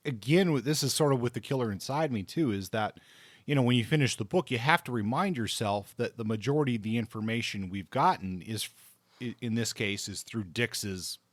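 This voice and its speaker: A man's voice: mid-range voice, a little fast, no echo. A man co-hosting a book discussion podcast, talking casually and thinking aloud as he goes. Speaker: podcast co-host discussing books.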